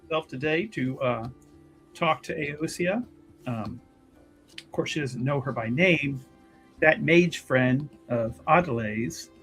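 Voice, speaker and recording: Bright, higher-voiced man narrating a game. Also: slow, soft background music, rough recording. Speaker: player in a tabletop roleplaying game. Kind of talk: improvised narration of his character's actions.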